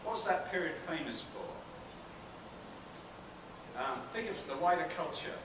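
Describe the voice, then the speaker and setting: A man's voice: on the high side, lively intonation, a little slow. British man lecturing in an echoey hall. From Britain. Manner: chatty, asks the audience questions, self-deprecating asides. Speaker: university professor giving a guest lecture. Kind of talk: public lecture to students. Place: large theatre hall with an audience. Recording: very echoey hall, far-off and noisy.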